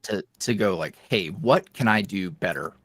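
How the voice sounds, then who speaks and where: A man's voice: deep voice, lively intonation, normal speed, a bit bright, no room sound. A young man talking casually as a podcast guest, rambling with lots of fillers and restarts. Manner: rambling, filler-heavy, self-reflective. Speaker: podcast guest, roleplay creator. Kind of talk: casual interview chat.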